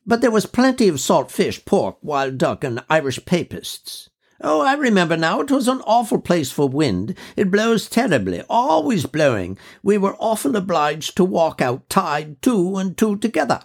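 A man voicing an elderly officer's widow, chatty and cheerful, in a high fluttery voice, for a spoken story. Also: sing-song, moderate pace, gravelly, exaggerated voice. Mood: reminiscing.

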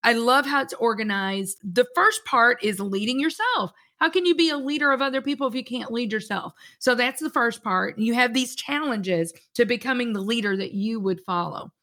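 Upbeat female podcast host with a bright, fairly high voice. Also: lively intonation, normal speed, hardly any pauses. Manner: enthusiastic, encouraging. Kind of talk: casual interview talk.

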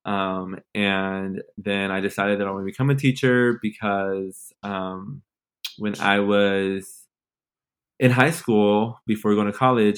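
A man in his twenties with a fairly low voice talks as a guest in a casual interview. His way of speaking is informal and playful, with plenty of slang and conversational filler words. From born in Mexico, grew up in Los Angeles and Nashville. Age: 20s. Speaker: podcast guest, teacher.

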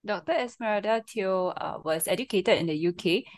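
A woman co-hosting a podcast, reading a guest's introduction in a steady, formal way. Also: medium pitch, normal speed, dry recording. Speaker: podcast co-host.

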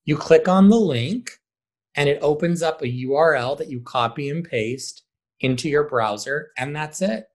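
A man's voice: on the high side, normal speed. Emphatic man chatting in an interview. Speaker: high school theater director, interview guest. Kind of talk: conversational interview answers.

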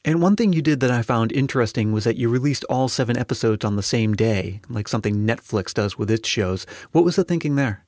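A man's voice: medium pitch, lively intonation, fairly quick, few pauses, darkish tone, dry recording, studio quality. A man hosting a podcast, giving scripted introductions and interview questions.

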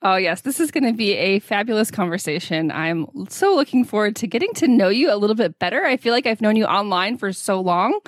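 A woman's voice: mid-range voice, lively intonation, fairly quick, hardly any pauses, no echo, clean studio sound. A woman hosting a podcast and interviewing a guest in an upbeat, enthusiastic way. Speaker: podcast host. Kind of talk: podcast interview, scripted intro.

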